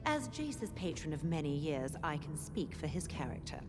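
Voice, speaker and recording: A composed councilwoman with a lowish voice, formal and measured. Heard from a film clip with hall reverb and a clear score underneath. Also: sing-song, music in the background.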